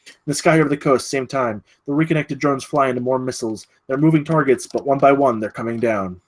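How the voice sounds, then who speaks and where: A man narrates screenplay action in short, clipped phrases. His pitch sits in an average male range, and he gives the stage directions a dramatic push. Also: brisk. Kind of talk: scripted narration at a screenplay table read.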